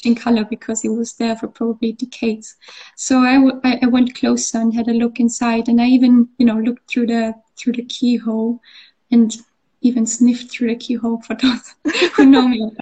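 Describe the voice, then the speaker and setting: A woman's voice: on the high side, level tone, moderate pace, dark tone, rough recording. A woman from Austria, an artist, talking in a casual interview with lots of fillers and restarts. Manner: hesitant, many fillers and restarts. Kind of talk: conversational interview.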